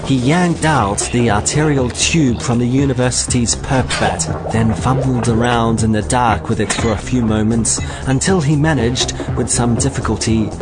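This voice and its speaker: A man playing a wry bar-owner who narrates a comic science fiction tale in a deadpan storybook manner. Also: mid-range voice, normal speed, few pauses, loud music behind the voice, lightly acted, rough recording. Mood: wry storytelling.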